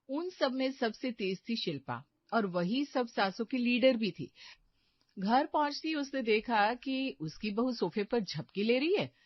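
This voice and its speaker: A woman's voice: a little high, no echo, muffled recording. A woman narrator reading a scripted cartoon story.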